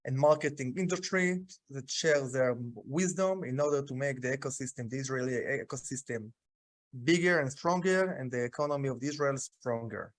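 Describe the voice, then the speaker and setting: A man hosting a sales podcast, introducing his guest in a halting way with many fillers. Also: on the high side. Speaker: podcast host. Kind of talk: interview hosting.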